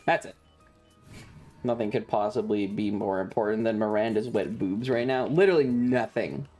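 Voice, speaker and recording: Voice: mid-range voice, moderate pace, dark tone. A streamer giving casual, sarcastic game commentary to chat, playfully crude and full of swearing. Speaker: streamer, host. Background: faint game music.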